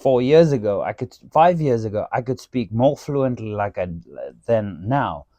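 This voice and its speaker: Afrikaans-accented man, dark voice, animated. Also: mid-range voice, measured pace, no echo. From South Africa. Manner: animated, swearing storyteller who says "dude" a lot. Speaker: podcast host. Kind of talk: casual chat.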